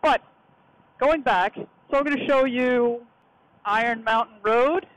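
A woman's voice: fairly high pitch, takes it slowly, no echo, highs cut off. A woman talking casually as a motorcycle vlogger, recorded outdoors while riding. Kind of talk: casual vlog narration. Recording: constant wind and road noise.

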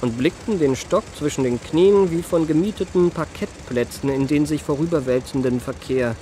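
An actor narrating a German radio play as an urbane confidence trickster recalling his youth, in measured, ornate, wryly genteel phrases. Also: on the high side, mellow, a little hiss or hum, close to an everyday voice. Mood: wry, composed.

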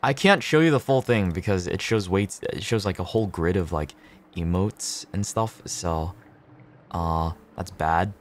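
A man's voice: low-pitched, normal speed. A young man chatting casually on a gameplay livestream, slangy, sweary and laid-back. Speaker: streamer.

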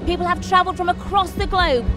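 Woman narrator, high voice, solemn ominous delivery, scripted, loud music behind. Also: fairly even intonation, fast talker. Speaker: narrator of a story intro.

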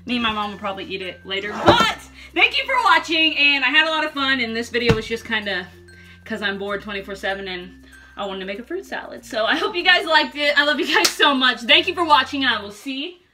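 A young woman clowns her way through a cooking tutorial in her home kitchen, swearing nonstop with a brash, mock-expert delivery. Her voice sits a little high, and she talks to a camera set up in front of her. Loud music plays underneath her talk. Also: expressive, a little fast. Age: young adult. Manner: brash, profane, mock-instructional. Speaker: vlogger. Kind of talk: comedic cooking vlog. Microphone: camera in front at table height. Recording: music playing loudly behind.

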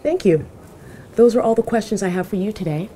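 A woman's voice: mid-range voice, warm tone, a little hiss or hum, natural voice. A woman playing a courteous bank manager. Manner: polite, formal, measured. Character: poised, courteous female bank manager interviewing. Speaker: job interviewer. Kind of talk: scripted dialogue for language learners. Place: office interior.

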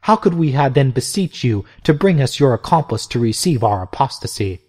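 A man's voice: medium pitch, sing-song, a little fast, a little mellow. A man voicing a fierce, defiant old abbess. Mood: indignant. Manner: indignant, scornful.

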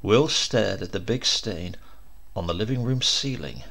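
A man's voice: on the low side, animated, measured pace, gravelly, fairly bright tone. A man narrating a short story as a scripted, dramatic audiobook reading.

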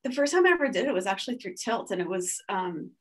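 A woman's voice: medium pitch, big pitch swings, quick pace, husky. Rambling woman on a video call. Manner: rambling, many "like" fillers and restarts. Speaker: panelist artist. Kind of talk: casual panel talk. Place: home studio room. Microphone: video call webcam.

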